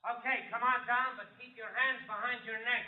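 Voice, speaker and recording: Distant high-pitched American gunman, echoing. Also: steady tone, moderate pace, narrow, tinny audio. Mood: defiant. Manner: calling out from a distance. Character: trapped male gunman, defiant, fatalistic, shouting from shelter. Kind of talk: old-time radio crime drama acting. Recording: thin, echoey, distant, noisy old radio.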